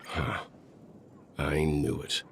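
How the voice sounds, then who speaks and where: A man playing a composed older gentleman mentor in a video game, explaining things in a measured way. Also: rumbling low, little pitch movement, a little hiss or hum, heavily acted. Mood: thoughtful. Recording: loud music behind. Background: loud game music.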